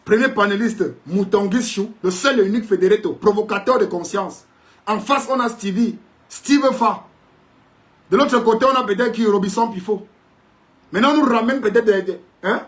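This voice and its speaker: Cameroonian guy ranting on video, high-pitched, sarcastic and fed up, tosses in english jabs. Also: moderate pace. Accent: Cameroonian French. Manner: indignant, sarcastic, preachy; mixes in English mockingly. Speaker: commentator.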